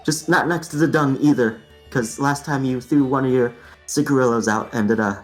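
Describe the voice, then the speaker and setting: A man's voice: medium pitch, normal speed, soft background music, close to an everyday voice. A man voicing a polite, flustered circus snake dancer who pleads with a coworker.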